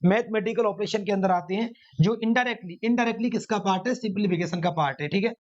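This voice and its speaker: A man's voice: sits high, a little fast. A man teaching an exam coaching class, emphatically repeating phrases and asking his own rhetorical questions. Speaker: maths teacher running online exam classes. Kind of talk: exam coaching lecture to students. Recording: dull, lo-fi sound.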